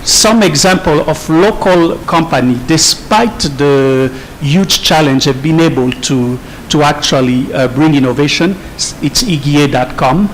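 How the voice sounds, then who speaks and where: Man, slightly high voice, panel speaker, unscripted, halting delivery, restarts, faint noise behind. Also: poor audio. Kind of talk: unscripted conference remarks.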